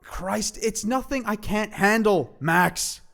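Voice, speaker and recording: A man's voice: sits high, slow pace, creaky, a bit bright, exaggerated voice. A man playing a tough, irritable teenage boy lynx in an audio drama, dismissive and foul-mouthed but secretly caring. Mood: angry, defiant.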